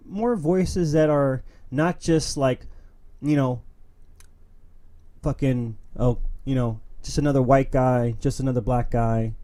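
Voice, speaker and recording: A man's voice: medium pitch, mellow. A young man from New York City hosting his own streamed opinion show, talking in an earnest, emphatic way with many fillers and restarts. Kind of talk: casual monologue, reading memes.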